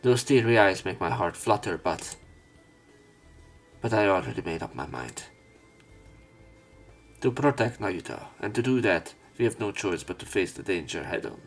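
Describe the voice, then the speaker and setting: A man with a low voice narrates a visual novel for a let's play. He reads the script aloud with some drama, over background music. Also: sing-song, moderate pace, pauses often.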